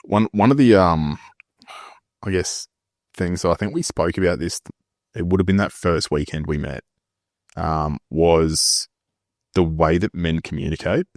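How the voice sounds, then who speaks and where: A laid-back man hosting a podcast, with a very deep, gravelly voice full of fry. He jokes and swears casually. The recording is dry and close. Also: fairly even intonation, a little slow, pauses often, darkish tone, dry recording. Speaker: podcast host.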